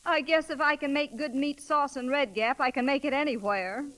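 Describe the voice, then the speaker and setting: A woman's voice: high voice, normal speed, a bit bright. An actress playing a kindly, hopeful woman cook who consoles, in 1930s radio acting style. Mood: consoling. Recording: noisy, hissy vintage radio recording.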